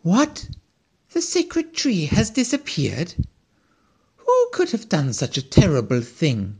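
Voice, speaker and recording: A storyteller voicing a curious, excitable male squirrel who exclaims his lines in a storybook reading. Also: takes it slowly. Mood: excited. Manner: exclaiming, high-strung.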